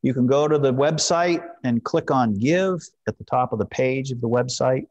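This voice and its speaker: A man in his fifties preaching informally as a pastor in a measured, gently encouraging way. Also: medium pitch, highs cut off. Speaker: pastor leading a church service. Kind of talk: informal sermon and prayer. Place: church sanctuary, at a wooden pulpit.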